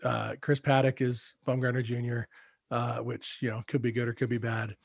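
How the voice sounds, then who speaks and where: A man co-hosting a podcast in casual chat, hesitant and measured, often restarting his sentences. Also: mid-range voice, flat delivery, vocal fry, no echo. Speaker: podcast co-host.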